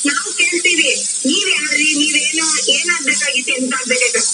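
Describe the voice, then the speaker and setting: Very high-pitched, feisty scolding woman. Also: fast. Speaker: prank call target. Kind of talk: heated phone argument. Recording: distant phone line under loud music.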